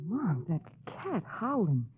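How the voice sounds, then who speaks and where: A spirited, easily rattled young lady sleuth's companion from a vintage radio play. She speaks at a middle pitch with big, excitable swings. The recording is dull and old, with music behind. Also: unhurried, background noise. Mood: nervous. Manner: lively, teasing, excitable. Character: lovely, plucky yet jittery female companion sleuth. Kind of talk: 1940s radio drama acting.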